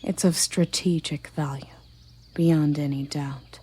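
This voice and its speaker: A woman voicing a regal, tender and possessive elven queen in a breathy ASMR roleplay whisper, with soft, commanding endearments. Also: low-pitched, fairly even intonation, slow, no echo, full character voice. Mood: tender, composed.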